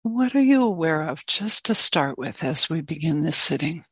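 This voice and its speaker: A woman meditation teacher giving guided meditation in gentle, spacious phrases with long pauses between them. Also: deep voice, big pitch swings, breathy edge, no echo.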